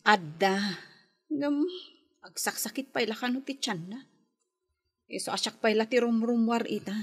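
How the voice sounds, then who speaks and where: A long-suffering wife in an Ilocano radio drama, worried and nagging. Her voice is fairly high and husky, with an Ilocano Filipino accent. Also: measured pace, fairly bright tone. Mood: weary, worried. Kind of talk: Philippine radio drama acting.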